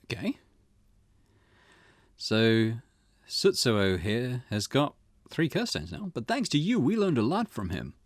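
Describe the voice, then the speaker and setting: Man playing an eager, animated junior detective. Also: mid-range voice, takes it slowly, pauses often, no room sound, clean studio sound. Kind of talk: reading visual novel dialogue in character.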